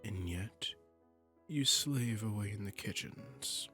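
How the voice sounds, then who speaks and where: A man's voice: on the low side, slow, pushed and bright, soft background music, full character voice. A man playing a seductive, commanding demon prince in a romantic roleplay audio drama, speaking in a silky, imperious way and often dropping into a murmur. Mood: seductive, admiring. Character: seductive, commanding male demon prince claiming a captive singer.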